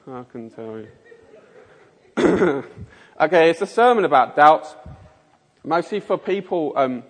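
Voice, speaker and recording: A man's voice: on the high side, big-room sound. A man in his forties from Kent with a Mockney, south-east English accent, preaching an informal sermon in a chatty, rambling, self-mocking way with plenty of fillers. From Kent, England. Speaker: lay preacher. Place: church.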